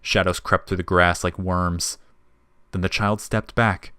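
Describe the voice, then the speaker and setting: A man's voice: deep voice, little pitch movement, a little slow, sharp tone, clean tone, dry recording, clean studio sound. A man reading scripted narration for an audio fiction story.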